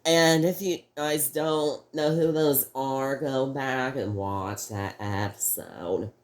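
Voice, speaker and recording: A man hosting a casual toy review video, talking in a halting, rambling way with many restarts, his voice a little off because he is sick. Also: medium pitch.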